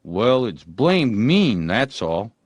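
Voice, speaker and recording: A man's voice: medium pitch, big pitch swings, takes it slowly, no echo. A man voicing a defiant, stubborn young boy in a storybook, theatrically playing a haughty knight. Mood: sulky, stubborn. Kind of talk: acted storybook dialogue.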